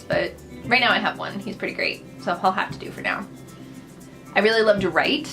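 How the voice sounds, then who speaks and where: A young woman who grew up in the Netherlands, with Dutch as her first language, chattily listing facts about herself in a casual vlog, upbeat and full of fillers and asides. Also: on the low side. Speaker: vlogger. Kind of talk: casual list of personal facts to camera. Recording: with clear background music.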